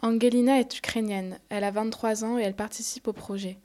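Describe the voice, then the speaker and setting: A woman reporter reading a scripted radio report in a measured way, recorded in the field. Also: on the high side, steady tone, dark tone.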